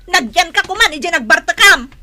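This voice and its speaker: A woman acting a sharp-tongued young wife who nags and fights back in an audio drama. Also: very high voice, lively intonation, normal speed, heavily acted. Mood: scolding. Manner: nagging, combative.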